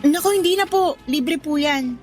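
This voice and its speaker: Woman acting a high-voiced, polite young daughter. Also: moderate pace, faint music behind, lightly acted. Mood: kind, eager. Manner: polite, deferential, gentle. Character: kind, respectful young college student daughter, working at an eatery. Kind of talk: Tagalog radio drama acting.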